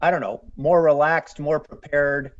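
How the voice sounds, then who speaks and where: A man's voice: a little high, steady tone, unhurried, highs cut off. A male reporter asking a press conference question in a rambling, hesitant way.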